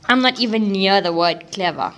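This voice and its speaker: A woman's voice: mid-range voice, normal speed, fairly bright tone, natural voice. A woman playing a slangy, self-doubting teenage schoolgirl in an audio drama. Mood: casual, grumbling.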